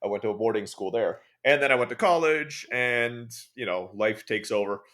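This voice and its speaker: A man with an American accent, guest on a podcast, telling jokey stories in a quick, casual way. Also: medium pitch, animated, fairly bright tone. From Turkey. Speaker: guest, online school founder.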